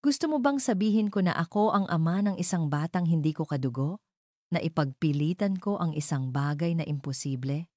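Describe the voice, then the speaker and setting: A woman's voice: sits low, expressive, fairly quick, mellow, dry recording, studio quality. A woman voicing a cold, cruel, scornful man, a scheming fiancé in an audio drama, with a mocking, menacing manner.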